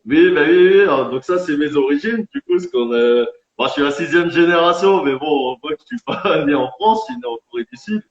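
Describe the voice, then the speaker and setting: A man who is an independent champagne winegrower, guesting in a live-streamed interview and explaining things in an earnest, somewhat halting way. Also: a little high, expressive. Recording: echoey, dull lo-fi sound.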